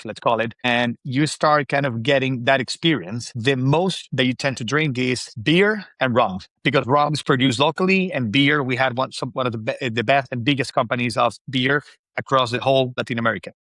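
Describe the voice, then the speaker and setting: A middle-aged man with a Venezuelan accent and Spanish as his first language speaks as an interview guest. His mid-pitched voice is recorded dry, with little room sound, and he talks in a conversational, storytelling way. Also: a bit bright, no room sound. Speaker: interview guest, spirits industry executive.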